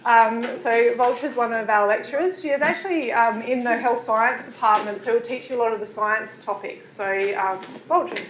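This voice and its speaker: A woman's voice: on the high side, normal speed, few pauses. A woman lecturing in midwifery, welcoming new students in a chatty way with many fillers. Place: lecture room. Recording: echoey room.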